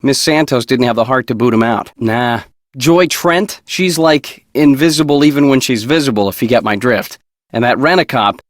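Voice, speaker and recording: A cocky slacker woodcarver for a mystery game, in a slightly high voice with a dry, close sound. He talks in flippant slang, with easygoing sarcasm. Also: dry recording.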